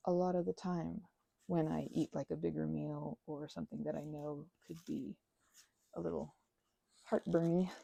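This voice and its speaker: Very creaky, low-voiced woman rambling casually. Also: warm tone, no room sound. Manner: meandering, self-deprecating, trailing off mid-thought. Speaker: host of a craft ramble and ASMR channel. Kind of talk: rambling casual ASMR craft chat.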